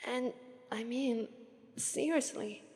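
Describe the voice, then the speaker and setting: A woman with an Italian accent voicing a lazy, aloof, regal demon of Sloth in an unhurried, detached ASMR roleplay. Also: fairly high pitch, darkish tone. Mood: dry, amused. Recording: long reverberant hall echo.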